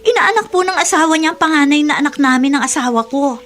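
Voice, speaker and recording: Caring, emotional niece in radio drama; high voice, lively swings, pleading sincerity. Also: female voice, fast, a little hiss or hum. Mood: shocked. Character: devoted, earnest married niece, caring and emotional. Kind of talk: Tagalog radio drama acting.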